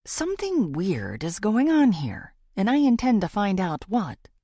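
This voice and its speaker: A woman's voice: mid-range voice, wildly swooping pitch, measured pace, dark tone, no room sound, studio quality. A woman reading scripted first-person thriller narration as an audiobook narrator.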